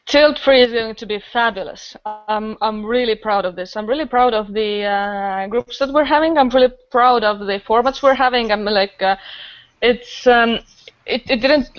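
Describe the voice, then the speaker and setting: High-voiced woman, muffled recording. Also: smooth, no room sound. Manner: enthusiastic, rambling storyteller. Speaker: improv festival organizer, podcast guest. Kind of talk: casual podcast conversation.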